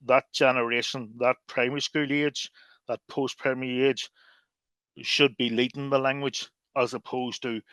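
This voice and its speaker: A man, a native English speaker, talking as a podcast guest at a mid pitch. He is thoughtful and often pauses on fillers or restarts a phrase. The sound is dry and close, with no room around it. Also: a bit bright, no room sound. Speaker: lexicographer and guest. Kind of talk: podcast interview.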